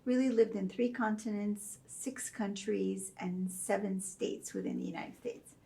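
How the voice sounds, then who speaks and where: Creaky-voiced thoughtful woman guest. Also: medium pitch, fairly even intonation. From Saudi Arabia. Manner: measured and thoughtful, with frequent soft fillers. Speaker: guest, professor of religion. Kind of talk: reflective interview storytelling.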